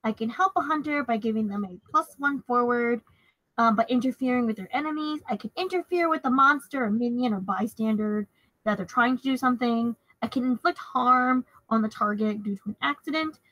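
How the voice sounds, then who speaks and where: A woman chats casually as a player in a tabletop roleplaying game, a little hesitant as she works out her moves. Her voice is high and clearly bright, captured dry with barely any room sound. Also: fairly quick, dry recording, low-quality recording.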